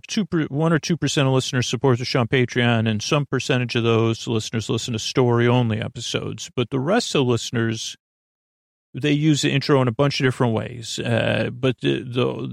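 Rambling, creaky-voiced man hosting a sleep podcast. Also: medium pitch, no room sound, studio quality. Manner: meandering, halting, repeats and trails off mid-thought. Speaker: podcast host.

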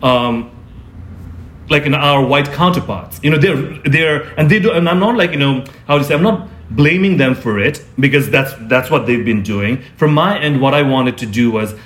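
A man who is a fashion designer, speaking in a conversational interview, thoughtful and often pausing to search for his words. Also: mid-range voice. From born in Singapore, grew up in Nepal and India.

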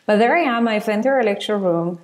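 A woman's voice: medium pitch, a little fast, darkish tone. A woman from Ecuador, a university lecturer speaking conversationally as a podcast guest. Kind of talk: conversational interview talk.

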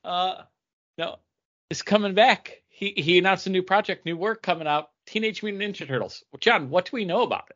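A man's voice: sits high, a little fast, fairly bright tone, lo-fi sound. A man hosting a casual podcast conversation, steering the chat along.

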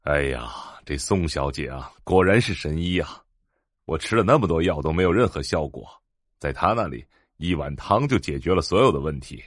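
An actor playing an excitable, admiring middle-aged husband and father, exclaiming earnestly. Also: a bass voice, moderate pace, no echo, studio quality.

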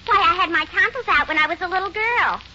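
Shrill, brassy New York gossip. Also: female voice, quick pace, bright, forceful tone, exaggerated voice. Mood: tactless, insistent. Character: blunt, gossipy, tactless young tavern woman. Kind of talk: 1940s radio comedy acting. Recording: muffled, noisy 1940s radio recording.

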